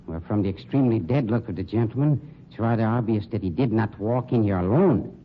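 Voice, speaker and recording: An actor in an old-time radio crime drama playing a suave, sardonic funeral director with polished, condescending delivery. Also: male voice, fairly low pitch, close to an everyday voice. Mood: exasperated, scornful. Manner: polished, sarcastic, condescending, deliberate diction. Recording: dull, noisy old radio recording.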